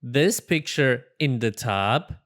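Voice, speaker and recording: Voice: mid-range voice, lively intonation, slow, a bit bright, pitched below the performer's own voice. A storybook reader voicing a cheerful, silly, overconfident male porcupine with a breezy, theatrical manner. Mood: confident, upbeat. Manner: breezy, theatrical, self-assured delivery.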